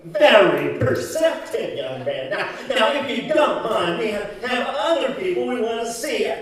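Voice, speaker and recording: Man playing a high, pompous theater director. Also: big pitch swings, a little slow, fairly bright tone, full character voice. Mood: dismissive. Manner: theatrical, condescending. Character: pompous, dismissive theater director with flamboyant airs. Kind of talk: live old-time radio comedy acting. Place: community center, live stage show. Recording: echoey hall, far from mic.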